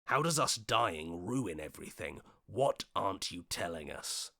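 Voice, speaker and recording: A man voicing a level-headed, questioning hero in a video game, speaking earnestly in short deliberate lines. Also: low voice, sing-song, creaky, sharp tone, heavily acted. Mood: questioning, suspicious.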